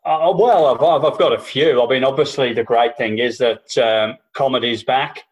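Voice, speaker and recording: A man's voice: medium pitch, normal speed, poor audio. Rambling, sweary male podcast co-host. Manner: rambling and sweary, with repeated false starts. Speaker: podcast co-host and stand-up comedian. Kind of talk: casual political chat. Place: New Zealand.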